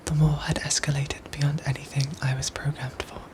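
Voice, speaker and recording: A woman playing a protective android soldier in a whispered ASMR roleplay, speaking in soft, breathy, formal and precise phrases. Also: low-pitched, level tone, normal speed, natural voice. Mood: grave, gentle.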